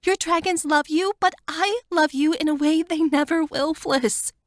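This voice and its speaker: A woman's voice: high voice, steady tone, measured pace, no echo, studio quality, pitched above the performer's own voice. A woman voicing Wanda, a tearful and devoted young woman who pleads emotionally with her friend, her voice lifted well above the narrator's own. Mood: tearful pleading.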